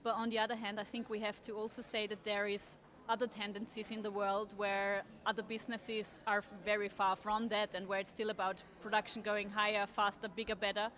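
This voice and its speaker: Casting: woman, articulate, measured, mid-pitched interview guest. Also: flat delivery, fairly bright tone. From Austria. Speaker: interview guest, trend forecaster. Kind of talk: conversational interview. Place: at a table at a design fair.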